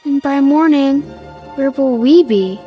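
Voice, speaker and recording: High, insistent young daughter. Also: female voice, a little slow, mellow, faint music behind. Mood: alarmed. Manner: questioning, protesting. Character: anxious, insistent young daughter demanding answers from her parents. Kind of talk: radio drama acting.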